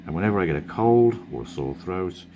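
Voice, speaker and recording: Very deep hoarse man with a croaky voice. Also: expressive, fairly quick, noisy background. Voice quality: croaky, husky. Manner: rambling, self-correcting, drowsy. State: hoarse from a cold. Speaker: cartoonist vlogger. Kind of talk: casual studio vlog talk.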